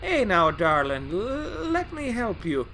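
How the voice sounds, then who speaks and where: A man voicing a cocky, high-pitched charming tank engine. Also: huge pitch swings, slow, very noisy, full character voice. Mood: gallant. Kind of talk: audio drama acting.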